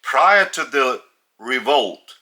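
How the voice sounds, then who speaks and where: Male spiritual teacher, Lithuanian accent, slow, very bright tone. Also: medium pitch, lively intonation. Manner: deliberate, halting, with long pauses.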